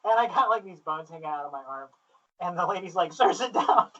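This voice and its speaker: A man interviewing casually and telling stories in an informal, sweary, excitable way, on a flawed low-quality recording. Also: brisk. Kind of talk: casual chat, animated anecdotes. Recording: distant, muffled lo-fi, technical faults.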